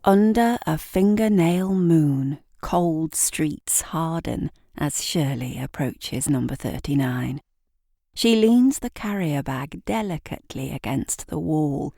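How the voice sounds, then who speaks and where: A woman reads scripted literary fiction as a theatrical storyteller. Her voice sits a little low and she takes her time, with very measured delivery. The sound is dry and close. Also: creaky, warm tone, no echo. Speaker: author and narrator.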